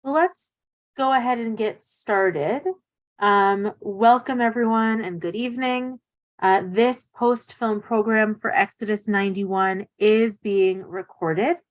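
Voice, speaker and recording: A woman's voice: mid-range voice, steady tone, slow pace, dry recording. Woman reading event welcomes, dry sound. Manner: friendly, reading announcements. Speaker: event host.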